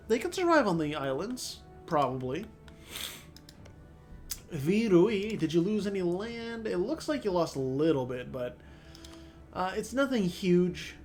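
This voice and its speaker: A man's voice: high-pitched, darkish tone. A man with a sore throat and a stuffy nose, rambling casually as he comments on a strategy game, thinking aloud with lots of fillers. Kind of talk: casual let's-play commentary.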